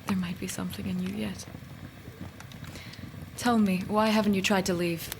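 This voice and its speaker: A woman playing a stern, cool, unsentimental goddess in a radio play, speaking in a measured, deliberate, often hushed way. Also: medium pitch, steady tone, creaky, very noisy, lightly acted. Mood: stern, goading.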